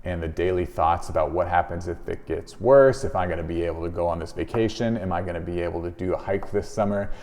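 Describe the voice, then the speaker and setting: A man's voice: deep voice, fast, hardly any pauses, darkish tone. A man giving informal, conversational instructional advice as a chiropractor presenting to viewers, explaining in plain everyday words. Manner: conversational, explanatory, voices example questions and replies. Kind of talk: informal instructional talk to camera. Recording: very reverberant, echoey room.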